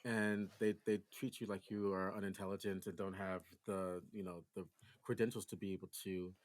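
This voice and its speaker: A man hosting a podcast in casual conversation, teasing and encouraging his guest in quick back-and-forth. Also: deep voice, little pitch movement. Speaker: podcast host.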